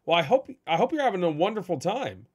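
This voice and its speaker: Lively high-voiced male host. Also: quick pace, slightly rough. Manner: upbeat, lots of short agreements. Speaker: podcast host. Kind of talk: casual chat.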